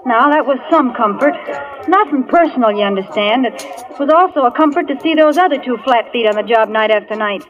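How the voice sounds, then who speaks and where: An actress playing a wisecracking, frightened young dance hall hostess in a 1940s radio thriller, with sassy, streetwise delivery. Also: female voice, fairly high pitch, lively intonation, a little fast, lightly acted. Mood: tense narration. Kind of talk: 1940s radio thriller acting and first-person narration. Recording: muffled old radio recording, music behind.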